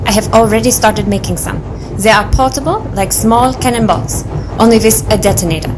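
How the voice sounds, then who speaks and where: A woman's voice: mid-range voice, fairly quick, natural voice. An actress playing a clever, composed female inventor in an audio adventure drama, speaking precisely and matter-of-factly. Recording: clearly noisy background, engine-like ambience.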